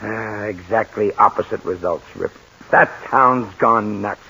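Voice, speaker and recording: An actor playing a practical, coaxing sidekick in a 1940s radio drama. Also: male voice, on the low side, measured pace, creaky, pushed and bright, husky, exaggerated voice. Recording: lo-fi 1940s radio broadcast with hiss.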